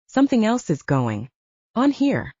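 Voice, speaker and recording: A woman's voice: on the high side, wildly swooping pitch, measured pace, dark tone, no echo, highs cut off, voice pushed up, exaggerated voice. A woman voicing a commanding, exasperated older brother, an alpha werewolf, with a stern, patronizing manner in an audiobook.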